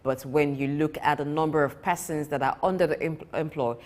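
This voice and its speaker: Deep-voiced woman hosting a morning show. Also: fairly even intonation, a little fast, darkish tone. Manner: conversational, with fillers. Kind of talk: live TV interview.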